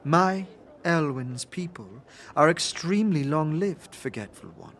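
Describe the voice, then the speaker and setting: A man playing a long-lived, mysterious female being in a fantasy audio drama, speaking in a formal, halting, wistful and guarded way. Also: on the high side, sing-song, a bit bright, exaggerated voice. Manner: stately, halting, formal, interjecting own name.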